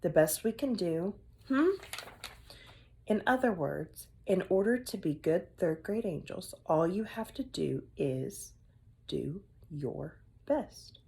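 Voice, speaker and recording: A woman's voice: lowish voice, expressive, takes it slowly, creaky, warm tone, full character voice. A woman voicing a cheerful, playful woman schoolteacher who coaxes her class with upbeat questions. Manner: upbeat, coaxing teacher questions.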